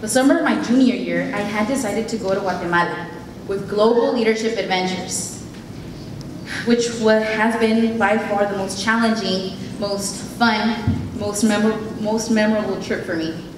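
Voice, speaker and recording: A young woman whose first language is Spanish delivers an earnest, heartfelt keynote from her notes. Her voice sits at an ordinary pitch and carries through a very echoey hall, picked up from a distance. A steady background hiss runs under it. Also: moderate pace. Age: late teens. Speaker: keynote speaker. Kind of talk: prepared speech. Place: event hall.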